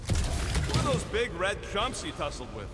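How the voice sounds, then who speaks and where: A man's voice: high voice, huge pitch swings, unhurried, long reverb tail, heavy background noise, full character voice. A man voicing a grouchy, sarcastic talking raccoon mercenary in a video game, snappy and griping. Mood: sour sarcasm.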